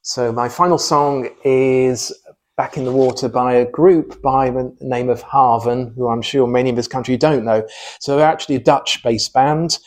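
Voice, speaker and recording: An English man in his fifties, speaking casually as an interview guest in a measured, hesitant way with many pauses. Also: mid-range voice, fairly even intonation. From England, born in Sheffield and later Kent. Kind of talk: casual personal conversation.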